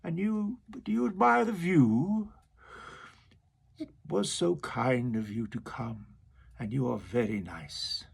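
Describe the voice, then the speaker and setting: A man reciting a poem and voicing a sly, greedy old male walrus in a breathy, half-whispered coaxing manner. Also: medium pitch, slow pace, heavily acted. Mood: wheedling.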